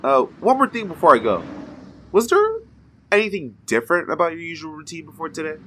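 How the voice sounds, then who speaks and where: A man's voice: on the high side, big pitch swings, a little fast, sharp tone, a little hiss or hum. A young man playing a weary, wry student superhero in an audio drama, casual and dryly sarcastic. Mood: wry, brisk.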